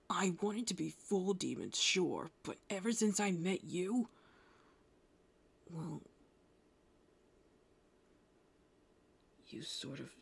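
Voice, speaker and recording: A woman playing a gruff, prickly but secretly tender young male half-demon in a roleplay spoken to the listener, brusque with a low, gravelly voice. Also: a little hiss or hum. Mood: defensive. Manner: gruff, brusque, softening into breathy murmurs. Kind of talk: roleplay audio addressed to the listener.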